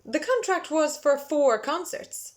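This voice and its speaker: A woman acts out an indignant middle-class mother from a literary story. She is proud and proper, speaking with haughty, deliberate emphasis in a high-set voice. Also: lively intonation. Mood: insistent.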